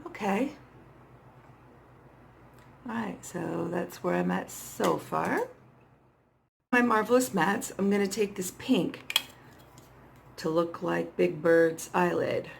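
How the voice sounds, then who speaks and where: A middle-aged woman talks through a makeup tutorial in a hoarse, fairly low voice with a gravelly fry. She is casual and playfully self-deprecating. There is noticeable background noise. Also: slow pace, pauses often. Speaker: makeup vlogger. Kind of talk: casual makeup tutorial chat, talking to herself while working. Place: small indoor setup with dark backdrop.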